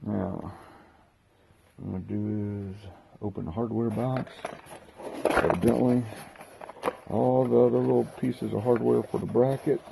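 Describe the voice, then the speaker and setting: A man hosting a casual unboxing video, talking haltingly as he thinks aloud while handling gear. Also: on the low side, fairly even intonation, gravelly, noisy background. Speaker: host of a hobby unboxing video. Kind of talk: casual unscripted product walkthrough.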